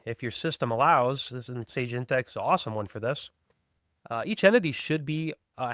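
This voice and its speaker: A man with a mid-pitched voice leads an instructional webinar. He lays out his points in an orderly, step-by-step manner as a software presenter. The sound is dry, with very little room in it. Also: no echo. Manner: structured, step-by-step explaining. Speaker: presenter of accounting software demo.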